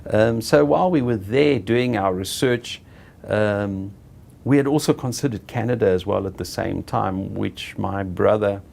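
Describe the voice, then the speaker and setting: A middle-aged South African man being interviewed, telling his own story in an unhurried, halting way and often correcting himself. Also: on the low side, vocal fry, darkish tone. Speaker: interviewee, property director. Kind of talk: reflective personal storytelling.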